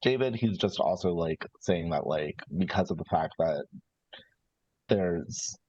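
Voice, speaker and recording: Deep-voiced male tabletop player, husky, hesitant and conversational, thinking out loud on a somewhat muffled mic. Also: a little slow, dry recording. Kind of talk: casual out-of-character game discussion. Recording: slightly dull, lo-fi microphone sound.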